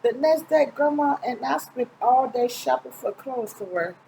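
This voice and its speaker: A woman's voice: high voice, animated, moderate pace, gravelly, warm tone, slight background noise, low-quality recording. A middle-aged woman reads a children's picture book aloud informally, stumbling now and then and correcting herself. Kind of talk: storybook read-aloud narration. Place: small indoor room, seated close to the camera.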